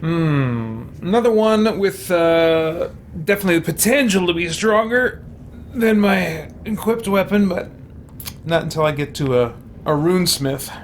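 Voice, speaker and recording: A man's voice: high-pitched, lively intonation, unhurried, slight background noise. A man hosting a gaming video, thinking aloud with mild exclamations in casual commentary. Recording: loud music behind the voice.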